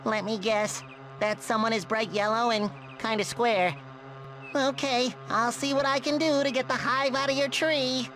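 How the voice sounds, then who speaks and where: A man's voice: very high-pitched, fairly even intonation, normal speed, sharp tone, soft background music, rough recording. A man voicing a naive, eager sea sponge in a cartoon video game, earnest, childlike and exaggerated. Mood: sheepish, eager. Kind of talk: animated video game voice acting. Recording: noticeable background noise.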